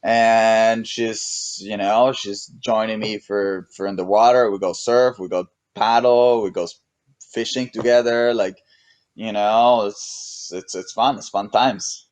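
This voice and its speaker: A Mexican-accented man in his thirties talks in an easy, meandering way, stopping and restarting his sentences as he goes. His voice sits low. The sound is close and dry, with no room around it. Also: slow, dry recording. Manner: relaxed, rambling with many restarts and "you know". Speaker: guest, pro paddle racer. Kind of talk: casual interview chat.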